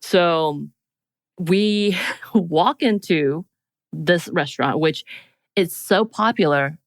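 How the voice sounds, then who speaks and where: Low-pitched woman, casual storyteller. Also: slow, dry recording. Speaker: podcast co-host.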